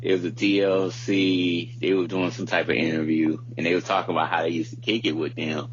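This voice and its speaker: A man's voice: sits low, level tone, a little fast. A man in his thirties chatting casually as a podcast co-host, rambling along in loose, slangy, informal phrasing. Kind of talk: casual chat. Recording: muffled lo-fi audio with background hum.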